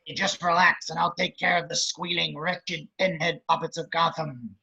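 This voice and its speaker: A man acts out a grotesque, grandiose villain giving bitter political speeches at a table read. The voice is pitched high for a man, gravelly and husky. The audio is dull and lo-fi. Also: steady tone, moderate pace, sharp tone, exaggerated voice. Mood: gloating. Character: grotesque, grandiose male crime boss posturing as politician.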